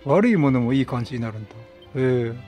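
A man's voice: mid-range voice, animated, fast talker, mellow, faint music behind. A talkative man doing chatty, reactive game commentary as a let's play streamer, exclaiming and reading game text aloud.

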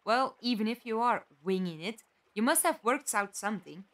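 Casting: actress as plucky, practical, questioning female companion for audio drama; high voice, animated delivery. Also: normal speed, lightly acted. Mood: curious, probing. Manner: questioning, direct. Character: inquisitive, plucky, practical young woman companion.

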